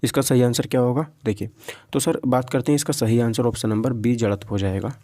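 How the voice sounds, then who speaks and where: A man's voice: medium pitch, steady tone, a little fast, dark tone, dry recording, clean studio sound. A man teaching physics for exam preparation in an informal lecture style, reading out questions and explaining them, often repeating himself and checking that listeners follow.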